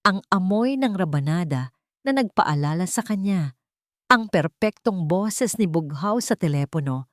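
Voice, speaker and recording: A woman narrating a scripted dramatic story in measured phrases with deliberate pauses. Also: lowish voice, animated, dry recording, clean studio sound.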